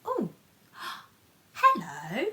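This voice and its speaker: A woman reading a picture book to children in an animated, playful way, with chatty asides and questions to her listeners. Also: mid-range voice, very slow. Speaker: children's storybook reader.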